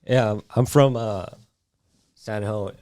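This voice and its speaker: A young man, speaking in halting, mumbled, slangy bursts in a casual chat. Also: on the low side, darkish tone. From Korea, raised in the Bay Area. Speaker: guest.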